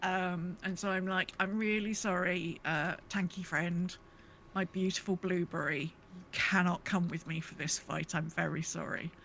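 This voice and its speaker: A woman's voice: on the low side, steady tone, a little slow, a bit bright. Woman chatting casually, faint background noise. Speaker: podcast co-host. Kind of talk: casual gaming chat.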